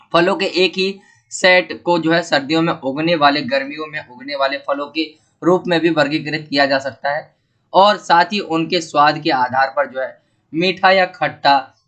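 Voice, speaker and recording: Male tutor, sore throat, slightly high voice, steady filler-heavy exam walkthrough. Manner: steady explaining with frequent fillers. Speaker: teacher explaining exam paper. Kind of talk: exam paper walkthrough lesson.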